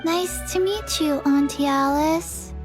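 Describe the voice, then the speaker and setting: A shy, placid little girl from a video game. Her small voice is high and childlike, and she talks extremely slowly, in simple, halting, polite phrases. Faint music sits underneath.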